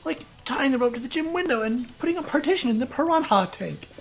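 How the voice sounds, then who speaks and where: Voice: sits high, animated, fast. A speaker taking part in a group video game fan dub, switching between casual talk and read dialogue. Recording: music playing behind the voice. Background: game music.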